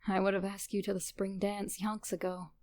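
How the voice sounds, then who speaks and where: A woman's voice: medium pitch, flat delivery, normal speed, darkish tone, lightly acted. A woman voicing an eager, smitten young science nerd with a cheeky streak in a story reading. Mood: soft, fond. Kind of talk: fan fiction audio reading.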